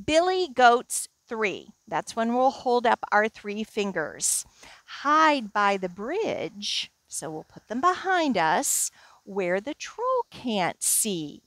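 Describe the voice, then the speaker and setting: A woman in middle age speaks to young children as a storytime leader. Her delivery is lively and unhurried, with simple, deliberate phrasing and plenty of expression. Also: fairly high pitch. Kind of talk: children's storytime reading and instruction.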